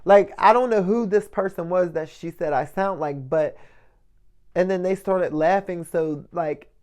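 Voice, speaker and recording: Guy with a light soft voice doing a chill self-help vlog, lots of "right?" and "you know". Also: sits high, measured pace. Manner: conversational, checks in often with "right?" and "you know". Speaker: motivational vlogger. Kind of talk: casual motivational monologue.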